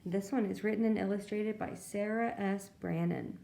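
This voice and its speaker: A woman's voice: mid-range voice, fairly even intonation, moderate pace, vocal fry, warm tone, reverberant. A woman reading picture books aloud to preschool children as a storyteller, expressively and with little asides to her young listeners. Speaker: children's librarian reading stories. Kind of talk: read-aloud picture book narration for preschoolers.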